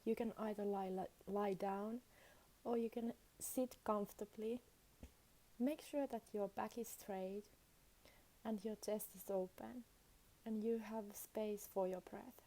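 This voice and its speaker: A woman leading a guided meditation as a teacher, speaking soothingly in short phrases with long pauses between them. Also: mid-range voice, fairly even intonation, dark tone, poor audio.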